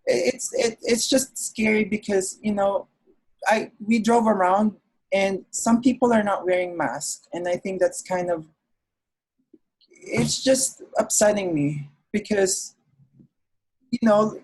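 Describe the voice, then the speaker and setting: A man from the Philippines, a nurse's aide, talking casually over a video call, soft-spoken and full of "you know" fillers. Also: high-pitched, slow, breathy edge, low-quality recording. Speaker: nurse's aide recovering from illness, interview guest. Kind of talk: casual interview conversation.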